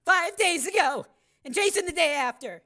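Woman as a frantic estranged mother, high, bright, halting and tearful. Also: animated, full character voice. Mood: distraught. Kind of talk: dramatized audiobook acting.

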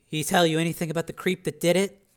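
Tough, protective, plain-spoken woman with fire in her, played by a male narrator, pitched up for an audiobook. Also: flat delivery, quick pace, clear, resonant tone, lightly acted. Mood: tense. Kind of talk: audiobook character voice.

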